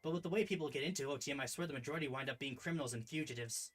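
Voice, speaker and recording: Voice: mid-range voice, racing, background noise, natural voice. A performer voicing a weary, stern female festival organiser in a visual novel, grumbling in a matter-of-fact way. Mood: exasperated.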